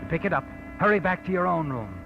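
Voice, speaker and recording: Sinister, highish-voiced radio mystery narrator. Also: male voice, expressive, quick pace, creaky. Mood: suspenseful. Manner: insinuating, dramatic. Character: sardonic, knowing, sinister storyteller addressing the guilty woman. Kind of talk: 1940s radio mystery narration, second person. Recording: dull old radio broadcast, music behind.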